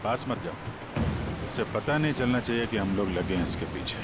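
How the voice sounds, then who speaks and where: A man's voice: fairly low pitch, lively intonation, normal speed, natural voice. A male actor playing a grave, cautious senior detective giving orders in a TV crime drama. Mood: tense, grave. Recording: loud music, car and traffic sounds.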